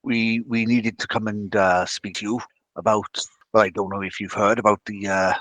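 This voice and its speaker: A man voicing an earnest, mild-mannered clergyman in a tabletop game, speaking politely and haltingly. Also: on the low side, flat delivery, fairly bright tone, dry recording, close to an everyday voice. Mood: earnest, hesitant.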